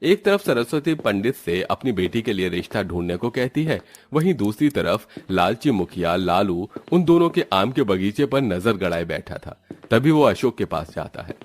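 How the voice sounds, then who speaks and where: A man's voice: on the low side, sing-song, normal speed, faint music behind. A man narrating a scripted cartoon horror story as the storyteller.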